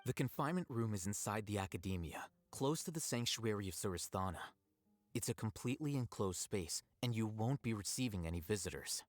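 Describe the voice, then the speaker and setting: A man playing a calm, calculating scholar in a video game, laying out plans in measured, articulate phrases. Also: fairly low pitch, dry recording, soft background music, close to an everyday voice. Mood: composed.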